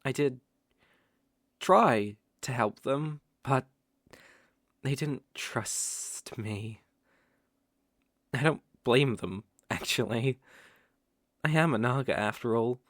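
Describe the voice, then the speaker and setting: A performer voicing a smooth-talking, predatory naga with a teasing, coaxing drawl and soft chuckles, in an ASMR roleplay. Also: medium pitch, lively intonation, takes it slowly, long pauses, dry recording, studio quality. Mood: sly, wistful. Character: smooth-talking, sly, predatory naga fond of cute prey.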